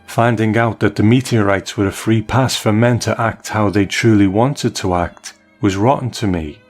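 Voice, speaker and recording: A man hosting a podcast, reading out a listener's message in a measured way. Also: fairly low pitch, faint music behind. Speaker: podcast host.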